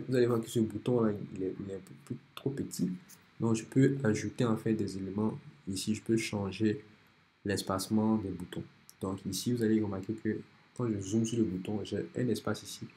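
A man with a cold, calmly walking through a software design tutorial step by step over a screen recording. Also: fairly low pitch. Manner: relaxed, step-by-step explaining with many restarts. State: has a cold.

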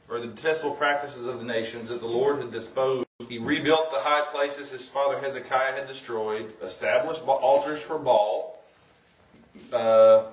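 A middle-aged man giving an informal, somewhat halting sermon as a guest preacher, mixing plain talk with scripture reading. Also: mid-range voice. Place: church sanctuary, indoors. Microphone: far camera. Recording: roomy, distant from the microphone.

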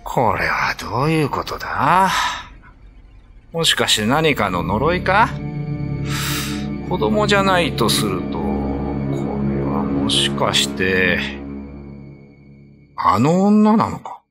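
A man's voice: fairly low pitch, wildly swooping pitch, slow, pauses often, music in the background, full character voice. A man playing a suspicious, easily startled candy shopkeeper in a radio drama. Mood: alarmed.

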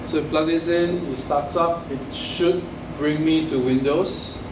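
A man in his forties with a Singaporean English accent explains setup steps to a meetup crowd, looping back over his own phrases. His voice sounds distant and bounces around an echoey meeting room. A steady hiss of room noise sits under everything. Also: a little high, slow pace. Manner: loose, restarts phrases, explains step by step, gestures at screen. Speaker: meetup speaker. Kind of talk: live tech talk with slides. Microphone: room camera mic from a distance.

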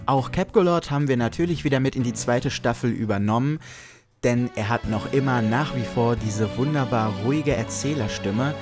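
Medium-pitched man presenting over music. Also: moderate pace. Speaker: trailer presenter, project director. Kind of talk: scripted trailer narration. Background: background music.

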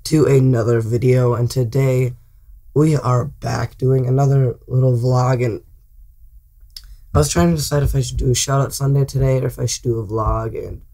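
A teenage boy talks straight to camera at a desk mic in his small bedroom. His voice is mellow and worn hoarse, and he rambles, restarts his sentences and leans on fillers. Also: medium pitch, fairly even intonation, moderate pace. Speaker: channel host. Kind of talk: casual vlog talk to camera. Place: small cluttered bedroom, indoors. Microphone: desk mic in front of him.

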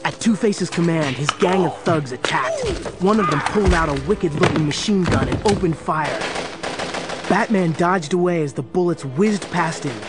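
An actor playing the dramatic storyteller of a superhero adventure, reading scripted narration. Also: male voice, fairly high pitch, moderate pace, loud music behind the voice, lightly acted.

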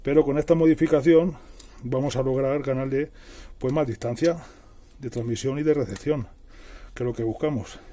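Male DIY presenter, middle-aged. Very mellow, slightly rough voice, informal step-by-step delivery. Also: medium pitch, fairly even intonation, moderate pace, long pauses. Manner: plain, step-by-step explaining with everyday diminutives. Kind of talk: casual hands-on how-to narration. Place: indoors at a worktable.

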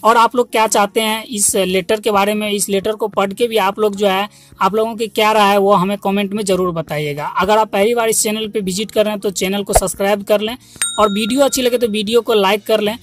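A man's voice: sits high, steady tone, brisk, no room sound. A man hosting an online channel, giving viewers an informational update in a repetitive, instructional way. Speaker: YouTube channel host. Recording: music under the voice. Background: background music.

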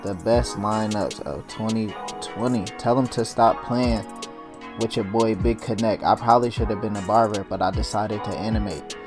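A man's voice: fairly low pitch, moderate pace. A young man hosting his own animation channel, talking casually in slang as he walks through a drawing tutorial. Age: young adult. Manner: hyped barber persona at the start, then relaxed mumbling while working. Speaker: cartoon animator and channel host. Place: home studio at desk. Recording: music playing under the voice.

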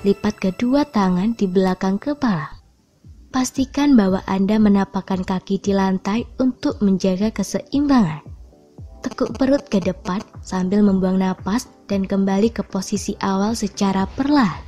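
A woman reading scripted instructional narration in a measured, clearly enunciated way. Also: medium pitch, warm tone. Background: background music.

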